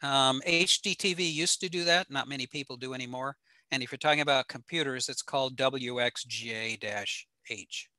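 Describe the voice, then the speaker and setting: Older man, American accent, sharp forceful bright voice, dry close sound, informal technical presenter, halting explanations. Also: mid-range voice, no room sound. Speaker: presenter, ham radio operator. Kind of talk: informal slide lecture. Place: small home room indoors.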